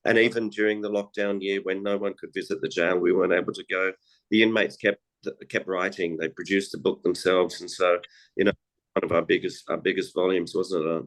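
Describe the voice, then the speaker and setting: A man whose voice is raspy and hoarse from illness, talking casually as an interview guest. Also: deep voice, fairly even intonation, brisk, low-quality recording. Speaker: project coordinator, guest.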